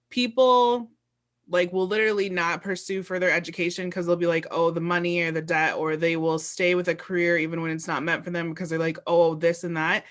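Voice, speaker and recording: A woman's voice: lowish voice, fairly bright tone. A woman interviewer in casual chat, earnest and supportive, rambling through her thoughts.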